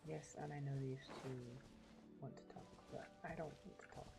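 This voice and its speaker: A woman's voice: deep voice, fairly even intonation, normal speed, gravelly. A woman streaming a game with casual, playful commentary, often muttering to herself. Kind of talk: casual live gameplay commentary. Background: game music.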